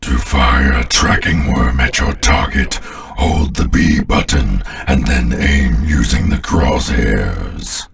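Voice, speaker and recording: A man voicing a sinister, arrogant alien overlord in a video game, speaking in an imperious, menacing way with a gravelly voice. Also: takes it slowly, far from the mic, heavily acted. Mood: imperious, instructive. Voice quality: very deep, gravelly. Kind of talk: video game villain voice lines.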